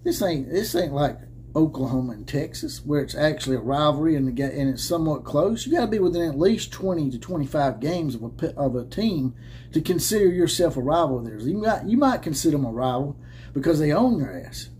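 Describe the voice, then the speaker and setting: A man's voice: fairly high pitch, lively intonation, a little fast, a little mellow, slight background noise. A man in his thirties hosting a college football commentary channel, ranting to camera in a combative, sarcastic way with mocking laughs, filmed indoors at a desk camera. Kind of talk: opinionated rant to camera. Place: indoor room with caps hung on the wall. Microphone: camera at desk framing head and shoulders.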